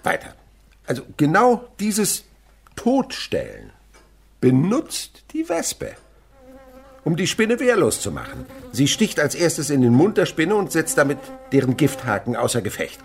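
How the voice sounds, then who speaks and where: An actor playing an elderly French insect researcher in a German radio play, lecturing emphatically and commanding those around him. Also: male voice, on the high side, unhurried, breathy edge, exaggerated voice. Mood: insistent lecturing. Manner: emphatic, animated, instructive. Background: buzzing insects.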